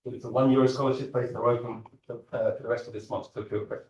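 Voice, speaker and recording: A man hosts a startup demo night from a stage, upbeat and joking with the crowd. His voice comes from a distance in a large room. The echo of the hall is clearly heard around him. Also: mid-range voice, level tone, fast, darkish tone, lo-fi sound. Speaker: event host. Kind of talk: event talk to a live audience.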